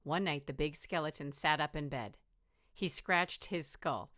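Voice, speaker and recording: Lower-voiced woman reading a children's story. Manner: deliberate, rhythmic, expressive. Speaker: teacher reading aloud.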